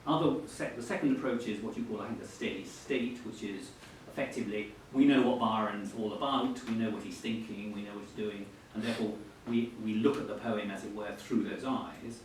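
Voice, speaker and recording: A man's voice: fairly quick, hardly any pauses. A man acting as interviewer in a literary interview before an audience, putting his questions in a hesitant, roundabout way. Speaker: interviewer at a book event. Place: echoey hall at a live book event. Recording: very echoey, distant mic, faint rumbling noise.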